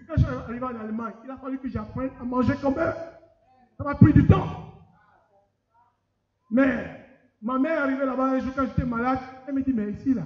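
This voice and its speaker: High-voiced preacher in an echoey hall. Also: male voice. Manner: lively, addresses the congregation directly. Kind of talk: sermon. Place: conference hall. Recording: echoey hall, dull sound.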